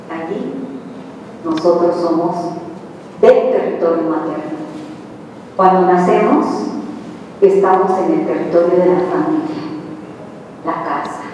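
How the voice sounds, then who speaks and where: Slow-speaking Mexican woman lecturing in a hall. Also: on the low side, darkish tone, dull audio, slight background noise. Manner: slow, deliberate lecturing with rhetorical questions to the audience. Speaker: researcher giving a lecture. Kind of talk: live conference talk. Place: large hall with a seated audience. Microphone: handheld mic, heard from far off. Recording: echoey hall, distant camera mic.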